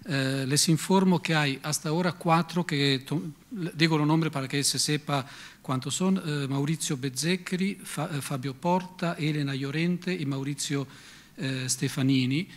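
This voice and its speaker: A man chairing a conference question round, calling on speakers and listing names in a brisk, procedural way at a table microphone in a large hall. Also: on the high side. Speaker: moderator.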